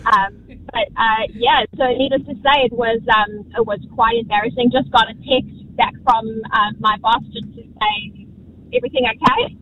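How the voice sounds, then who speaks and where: A woman's voice: a little high, lively intonation, normal speed, noisy background. South African woman on a muffled phone line. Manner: hesitant, many ums. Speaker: caller. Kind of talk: casual phone-in chat.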